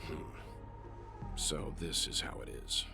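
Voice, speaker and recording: An actor playing an astute, calm gentleman in a video game, speaking formally and deliberately with a gravelly voice. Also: music in the background, natural voice. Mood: grim. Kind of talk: dramatic video game voice acting.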